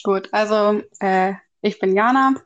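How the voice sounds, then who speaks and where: A woman's voice: mid-range voice, measured pace, dull audio. A woman in her early twenties in a casual, unscripted podcast chat, speaking in a slightly tentative way. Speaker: podcast co-host.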